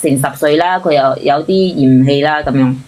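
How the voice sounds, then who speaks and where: A woman's voice: deep voice, moderate pace, darkish tone. A woman caller, talking casually and defensively about her own life, insisting on her side. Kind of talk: casual personal confession and argument. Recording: hissy, clearly noisy call audio.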